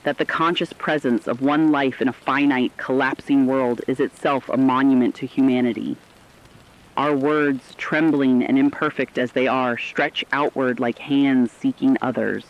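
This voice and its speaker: A man's voice: mid-range voice, natural voice. A man playing a solemn, compassionate radio broadcaster in humanity's final hours, with earnest, careful phrasing in a scripted radio drama. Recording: dull, muffled audio.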